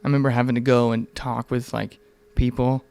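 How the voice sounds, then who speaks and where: A man's voice: medium pitch, flat delivery, fairly quick, creaky, a little mellow, clear, resonant tone. A man in his mid twenties chatting candidly on a podcast in an informal, rambling, earnest way, with some swearing. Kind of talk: casual, candid podcast conversation.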